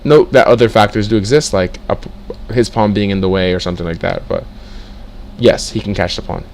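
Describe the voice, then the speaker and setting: A young man teaching chess in a casual way, reading out moves and breaking into excited exclamations as he works through the position. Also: fairly low pitch, brisk, gravelly, a little hiss or hum. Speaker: chess tutor. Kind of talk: casual screen-share lesson, thinking aloud.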